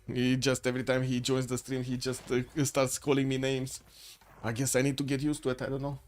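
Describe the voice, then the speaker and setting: A man's voice: mid-range voice, completely flat delivery, brisk, darkish tone. A man hosting a livestream, giving rambling live commentary on a strategy game match and greeting chat viewers. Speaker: stream host and caster.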